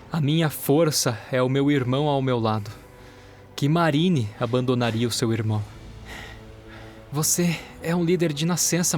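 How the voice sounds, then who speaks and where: A man with a Brazilian accent acting a solemn, proud young warrior aspirant in an audio drama, speaking gravely and formally. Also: a little high, pitched above the performer's own voice, close to an everyday voice.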